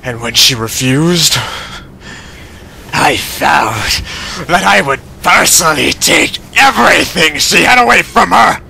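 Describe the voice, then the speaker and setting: A man's voice: fairly high pitch, expressive, takes it slowly, vocal fry, bright, forceful tone, slight background noise, full character voice. A man playing a vengeful, unhinged vampire villain with a taunting, mock-polite menace in an audio drama. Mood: enraged. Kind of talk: dramatic audio fiction acting.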